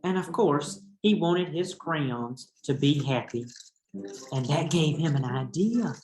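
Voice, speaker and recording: A man's voice: a little high, lively intonation, slow pace, a little mellow, a little hiss or hum. Man teaching a read-aloud in an echoey room. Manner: instructive, addressing young pupils. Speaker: teacher reading a picture book aloud. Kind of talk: read-aloud lesson with explanations and questions.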